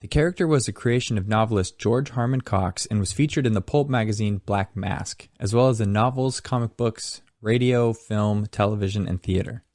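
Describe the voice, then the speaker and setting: A man's voice: on the low side, moderate pace, few pauses, darkish tone, no room sound, studio quality. A man hosting a podcast, reading scripted narration. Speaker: podcast host.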